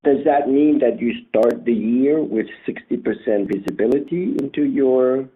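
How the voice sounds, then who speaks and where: A man's voice: medium pitch, moderate pace. A man calling in to put questions to company management on an earnings call. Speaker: caller asking questions. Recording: noisy, muffled phone-like call line.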